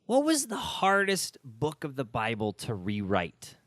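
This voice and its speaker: A man's voice: medium pitch, huge pitch swings, measured pace, a bit bright, no room sound, studio quality. A man hosting a podcast, chatting casually and informally with exclamatory reactions. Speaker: podcast host. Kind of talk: casual interview chat.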